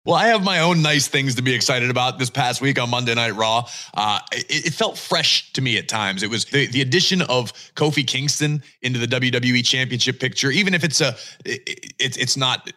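A man co-hosting a wrestling podcast, talking in an energetic, emphatic, casual way. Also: medium pitch, brisk. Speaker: podcast co-host.

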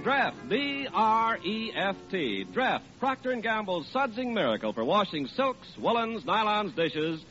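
A male announcer in formal 1940s radio style, high and very animated, delivering his script with emphasis. The recording is old and muffled, with music playing behind. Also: unhurried, few pauses.

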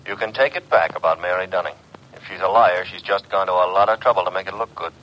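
An actor playing a brisk, wisecracking detective's legman, casual and wry in a classic radio drama. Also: low-pitched, fairly bright tone, low-quality recording. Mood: urgent, wry.

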